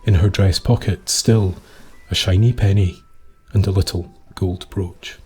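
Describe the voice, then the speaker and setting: A Scottish man reading a scripted dark tale as a storyteller, with solemn, deliberate phrasing. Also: deep voice, steady tone, slightly rough.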